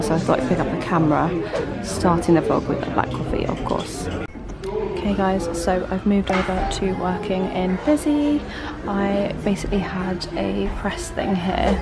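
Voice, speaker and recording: A young woman in her twenties chatting upbeat to a handheld camera, full of fillers, a little bunged up with a cold. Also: mid-range voice. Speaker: vlogger. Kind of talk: casual vlog chat to camera. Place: cafés and a city street.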